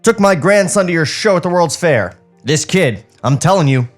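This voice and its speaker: A man's voice: fairly high pitch, lively intonation, normal speed, pitched above the performer's own voice, close to an everyday voice. A man plays a middle-aged king of a Hollywood lot in a comedy script read. He is brusque and chatty, steamrolling ahead in a raised voice. Music sits behind him. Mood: brisk, blustering. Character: brusque, fast-talking 48-year-old male Hollywood studio boss.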